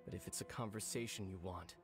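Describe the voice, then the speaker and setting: An actor playing a proud warrior who challenges a rival in a cool, measured, commanding manner. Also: male voice, low voice, fairly even intonation, creaky. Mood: challenging. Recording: game cutscene with music.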